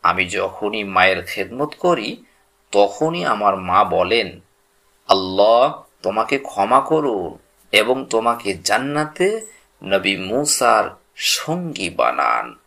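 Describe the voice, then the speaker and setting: Man reading as devoted young male butcher, religious storybook tale, humble, respectful, unhurried, gravelly. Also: on the low side, sing-song, sharp tone, pitched below the performer's own voice, full character voice. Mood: humble, sincere.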